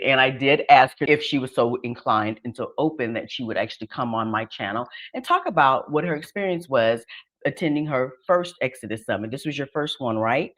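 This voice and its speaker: A middle-aged woman hosting a casual conversational interview, with an affirming, encouraging manner. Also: a bass voice. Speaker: host of an online interview show.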